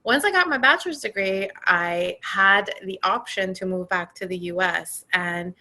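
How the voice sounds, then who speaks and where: A woman in her forties, raised between Iran and California, telling her career story in a relaxed interview with frequent pauses and fillers. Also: medium pitch. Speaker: podcast guest, tech program manager. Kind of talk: conversational interview.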